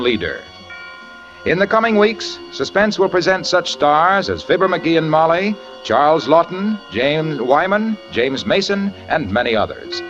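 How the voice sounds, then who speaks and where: A man announcing a 1940s radio show and reading ads in a brisk, salesman-like way. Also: fairly high pitch, fairly bright tone. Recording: dull old radio recording.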